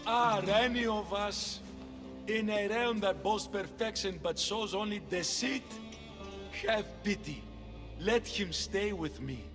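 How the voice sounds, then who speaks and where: Sorrowful gentle blind man, high voice, emotional, slow delivery, game drama, music behind. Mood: resigned, sad. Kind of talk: video game cutscene acting. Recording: long reverberant echo.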